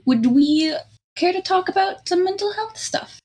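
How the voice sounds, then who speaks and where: A woman's voice: extremely high pitch, lively intonation, a little slow, darkish tone. A young woman from California, chatting playfully with friends on a voice call and laughing often. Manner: giggly, playful, teasing. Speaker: podcast co-host. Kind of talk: casual chat among friends. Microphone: own mic on a voice call.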